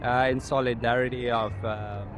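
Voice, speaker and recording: A man from Zimbabwe at an outdoor rally, speaking in a measured, earnest way with many pauses. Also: medium pitch, steady tone. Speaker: rally attendee. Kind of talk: street interview. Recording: street noise outdoors.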